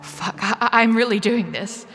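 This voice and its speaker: A woman playing a sardonic, wary young woman short of money in an audio drama, with wry, expressive inner monologue. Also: on the high side, normal speed, big-room sound. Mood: feisty, incredulous. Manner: wry, expressive, with sighing interjections. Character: young cash-strapped woman, sardonic, wary, inwardly flustered. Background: music.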